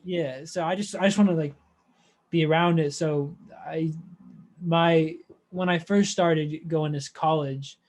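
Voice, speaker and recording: A man's voice: a little high, fairly even intonation, unhurried, darkish tone. A young man interviewing in a casual, hesitant, slangy way. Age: young adult. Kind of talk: casual chat.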